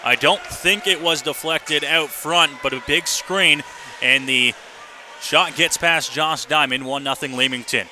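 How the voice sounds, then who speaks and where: A man's voice: fairly high pitch, unhurried, pushed and bright. A man doing live radio play-by-play commentary of an ice hockey game as the announcer. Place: indoor hockey arena. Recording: noisy live arena radio broadcast.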